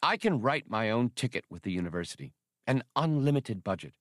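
A man's voice: mid-range voice, sing-song, a little fast, no room sound, studio quality, lightly acted. A man voicing an eager, fussy male alien academic with precise scholarly phrasing in an audiobook.

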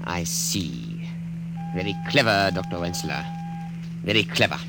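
An imperious commander in a vintage radio drama. His low voice barks orders with lively swings, over loud music from an old broadcast. He is stern and used to being obeyed. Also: a little slow, gravelly, full character voice. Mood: cold, commanding. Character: stern commanding male police commander of a regime.

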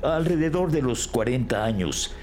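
An actor playing an indignant, shaken deli owner giving an emphatic account as a crime victim in an audio drama. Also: male voice, mid-range voice, lively intonation, normal speed, darkish tone, lightly acted. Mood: agitated. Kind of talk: scripted audio drama dialogue.

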